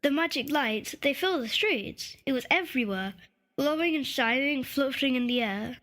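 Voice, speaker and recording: Woman playing a high-voiced, sweet little boy. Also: expressive, moderate pace, fairly bright tone. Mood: awed. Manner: simple, wide-eyed, polite. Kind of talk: audio drama acting.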